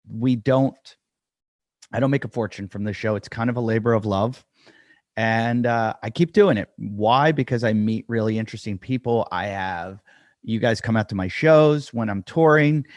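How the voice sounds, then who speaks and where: A man's voice: fairly low pitch, no room sound, clean studio sound. A man hosting a podcast, talking casually and self-deprecatingly with lots of fillers as he introduces the show and his guest. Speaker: podcast host. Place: dry room at home.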